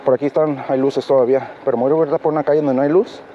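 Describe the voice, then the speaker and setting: A man vlogging while cycling at night, speaking Spanish as a learner with a halting manner and many fillers. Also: medium pitch, fairly even intonation. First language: English. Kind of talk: casual vlog narration while cycling. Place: outdoors on a bike at night on city streets. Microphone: action camera on the bike. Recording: dull action camera audio with road noise. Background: traffic and road noise.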